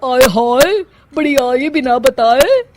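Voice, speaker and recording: A woman's voice: high-pitched, animated, slow. A woman voicing a plain-spoken, reproachful middle-aged woman in a moral story. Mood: indignant. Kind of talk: Hindi moral story cartoon acting. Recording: loud music behind the voice.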